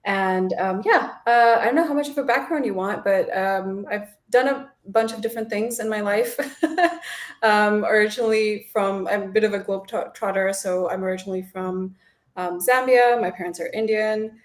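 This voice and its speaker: A woman's voice: mid-range voice, normal speed. A woman speaking as a panel guest on a video call, chatty and laughing easily, with many fillers. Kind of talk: panel discussion. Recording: echoey room on a video call.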